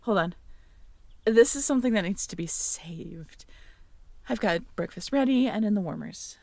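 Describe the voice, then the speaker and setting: A young woman in an ASMR audio roleplay, talking to the listener as a girlfriend, playful and teasing one moment and soft and caring the next. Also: mid-range voice, animated, moderate pace, creaky, no echo.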